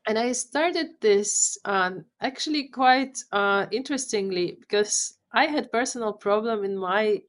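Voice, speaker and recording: A woman's voice: mid-range voice, a little slow, hardly any pauses. A middle-aged woman with a Polish accent, a startup founder being interviewed on a podcast, earnest and often repeating words as she finds them.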